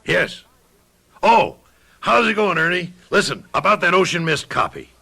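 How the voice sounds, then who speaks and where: A husky, forceful voice with animated swings, as a bossy office executive. It is brisk radio drama playing of an advertising boss used to giving orders. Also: male voice, sits high, full character voice. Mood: puzzled, brisk.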